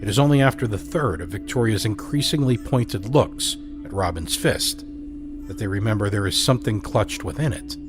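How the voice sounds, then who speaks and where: A man narrating an audio drama with a slow, soft, measured reading. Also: lowish voice, lively intonation. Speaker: narrator and host. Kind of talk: scripted narration. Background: music score.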